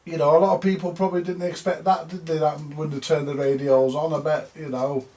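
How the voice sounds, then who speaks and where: A man's voice: on the high side, level tone, brisk, darkish tone. A man chatting casually and colloquially, rambling along as he hosts a repair video. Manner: colloquial, chatty, tag questions. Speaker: vintage radio repairer, video host. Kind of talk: casual rambling vlog chat.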